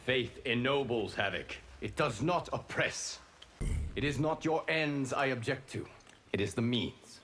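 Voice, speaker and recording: A man voicing a calm, resolute demigod in a video game, speaking gravely and formally. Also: mid-range voice, measured pace, a little hiss or hum. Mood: solemn, firm. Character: solemn, principled male demigod, calm and resolute. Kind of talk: video game character dialogue.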